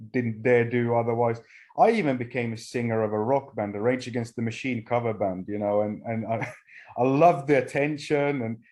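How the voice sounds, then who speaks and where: A middle-aged man from Finland, a native Swedish speaker, telling his personal recovery story at a meeting with halting pauses and restarts. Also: medium pitch, darkish tone. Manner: halting, frequent restarts and fillers. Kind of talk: personal testimony talk.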